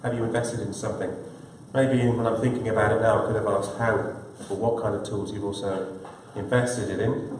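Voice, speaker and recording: A man's voice: lowish voice, steady tone, fairly quick, a little mellow, slight background noise. A man moderating a live conference session, speaking loosely with frequent hesitations. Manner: loose, hesitant with many fillers. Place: large conference hall. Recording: echoey hall, distant mic.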